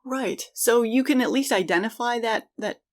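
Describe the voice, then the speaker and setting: A woman's voice: a little high, normal speed, a little mellow. A middle-aged woman co-hosting a podcast, talking casually and reflectively in an earnest way. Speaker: podcast co-host.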